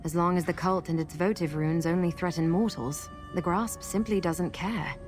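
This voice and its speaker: An actress playing a guarded, urgent masked woman who protects people from cultists in a fantasy video game, speaking in an earnest, measured way. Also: on the low side, darkish tone, soft background music, lightly acted. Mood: explaining. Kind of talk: video game character dialogue.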